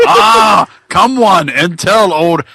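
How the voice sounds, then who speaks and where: A man voicing the chummy, folksy ghost of a wrestler with hammy, drawn-out delivery in a comic reading. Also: high-pitched, wildly swooping pitch, gravelly, pushed and bright, raised voice, slight background noise, full character voice. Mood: chummy, concerned.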